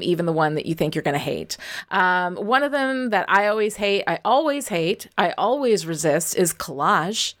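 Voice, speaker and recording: A woman giving casual, instructional advice as a writing coach, speaking in a candid, emphatic, conversational way. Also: on the low side. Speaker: writing coach and novelist, interview guest.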